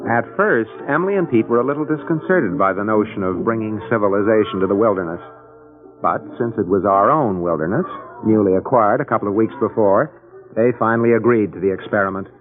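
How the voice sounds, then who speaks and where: A man's voice: on the low side, brisk, phone-quality sound, lightly acted. An actor playing a wry, harried middle-aged American father, narrating and speaking dryly in an old-time radio drama. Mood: wry narration. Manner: dry, wry. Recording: thin band-limited old radio recording, music behind.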